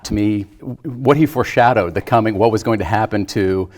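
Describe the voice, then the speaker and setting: A man's voice: lowish voice, a little fast, a little mellow. A middle-aged man from Philadelphia talking casually about film as a guest on a show. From Philadelphia, United States. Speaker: guest, local newspaper employee.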